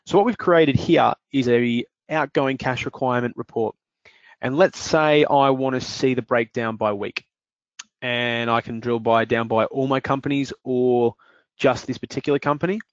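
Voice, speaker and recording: Guy explaining software features on a webinar, lots of ums, muffled dry audio. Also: medium pitch, normal speed, no room sound. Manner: explanatory, frequent fillers. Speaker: software demo presenter. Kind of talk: webinar product demonstration.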